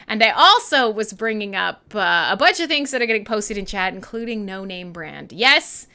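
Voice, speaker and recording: A woman with a Canadian accent hosting a casual, irreverent live radio show, talking in an excitable, playful, rambling way with plenty of swearing. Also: fairly high pitch, clear, resonant tone. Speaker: radio host and metal DJ. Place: her own home-style studio.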